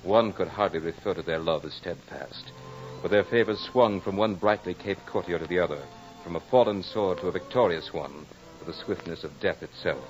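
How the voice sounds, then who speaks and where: An actor playing a brooding aristocratic courtier in an old radio drama, telling his tale in a measured, florid, dramatic way. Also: sits low, close to an everyday voice. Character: brooding aristocratic French courtier recounting a fatal duel. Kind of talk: 1940s radio drama monologue. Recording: muffled old radio recording.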